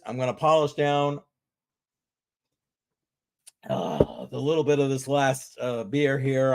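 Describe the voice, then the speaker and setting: Man, middle-aged, Massachusetts accent, easygoing, casual chat. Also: a little high. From Southeastern Massachusetts. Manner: relaxed, chatty, drinking beer. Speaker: livestream host.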